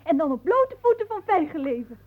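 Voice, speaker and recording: A woman's voice: extremely high pitch, sing-song, fairly quick. An actress playing a naive, eager, girlish young woman in a radio drama. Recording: muffled, phone-like old radio recording.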